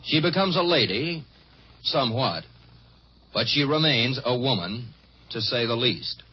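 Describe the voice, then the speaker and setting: A man's voice: medium pitch, expressive, measured pace, slight background noise. A man reading a scripted film review for 1950s radio in a dry, wry way. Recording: muffled 1950s radio recording.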